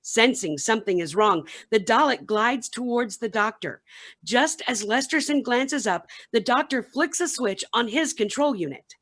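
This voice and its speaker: Higher-pitched woman narrator. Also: expressive, normal speed, fairly bright tone. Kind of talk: scripted narration for a radio play reading.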